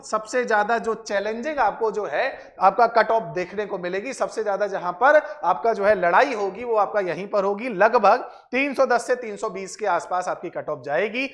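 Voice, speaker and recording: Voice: medium pitch, normal speed, hardly any pauses, fairly bright tone. A teacher explains things to students, repeating points and checking in with short tag questions. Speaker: exam-prep teacher and channel host.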